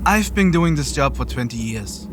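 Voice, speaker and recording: A man's voice: medium pitch, animated, moderate pace, a little hiss or hum, lightly acted. An actor playing an effusively grateful, cheerful parcel delivery man in a radio play, gushing, with music behind him. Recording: music bed under the voice.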